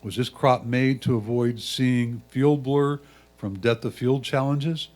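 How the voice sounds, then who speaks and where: A man reading written critique aloud in a measured, deliberate way. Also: mid-range voice, steady tone, darkish tone. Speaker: reader of judges' comments.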